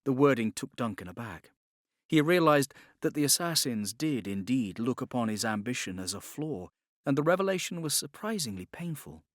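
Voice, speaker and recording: Man, audiobook narrator, dramatic fiction reading, measured, animated pitch, very dry recording. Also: dry recording. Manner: measured, expressive storytelling.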